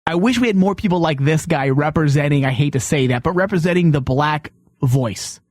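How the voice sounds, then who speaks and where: A man's voice: on the high side, a little fast, darkish tone, no echo, studio quality. A man hosting a casual panel talk show, blunt and opinionated, who swears and cuts in on others. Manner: blunt, profane, interrupting.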